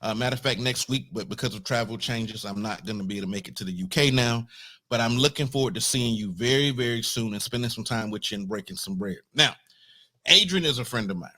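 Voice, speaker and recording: Man, american, interview host, bright clear tone, friendly and relaxed. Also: medium pitch, quick pace. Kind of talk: casual interview introduction.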